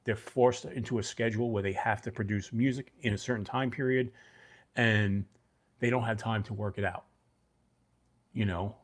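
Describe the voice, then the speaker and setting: A middle-aged man from Brooklyn hosts a casual livestream. He has a fairly low voice and a mixed New York and New Jersey accent. He rambles loosely and talks to viewers by name. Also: steady tone, moderate pace, long pauses. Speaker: livestream host.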